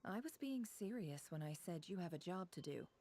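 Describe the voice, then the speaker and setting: A woman playing a composed, shrewd leader in an anime game, speaking in a measured, formal, commanding way. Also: fairly low pitch, animated, mellow. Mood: serious.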